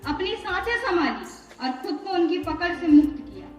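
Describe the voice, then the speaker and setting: A woman's voice: extremely high pitch, big pitch swings, normal speed, soft background music. Teen girl student reciting a poem all impassioned and pleading, super echoey, mic kinda far away. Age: teenager. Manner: impassioned, plaintive, repeats lines for emphasis. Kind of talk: dramatic spoken poetry recitation. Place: echoey room at a school. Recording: echoey room, distant microphone.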